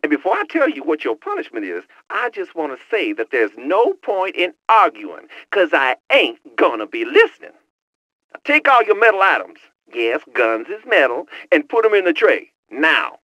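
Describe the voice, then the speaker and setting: A cocky, slangy hacker sidekick. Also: male voice, fairly high pitch, huge pitch swings, normal speed, bright, forceful tone, no room sound, full character voice. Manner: boastful, teasing, slangy street talk. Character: cocky young male hacker sidekick on a headset. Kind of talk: video game cutscene voice acting.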